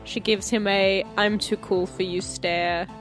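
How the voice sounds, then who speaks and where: A woman's voice: mid-range voice, little pitch movement, unhurried, a bit bright, soft background music. A woman playing in a tabletop roleplaying podcast, with casual, dry, wry banter and narration.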